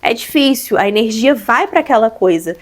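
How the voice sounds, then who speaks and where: A young woman with a Brazilian accent talks her viewers through advice in a relaxed, chatty vlog. Her voice sits a little high, and a cold has left it hoarse and slightly stuffy. She explains each point patiently, speaking straight to her audience. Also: moderate pace. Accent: Brazilian Portuguese. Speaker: vlogger.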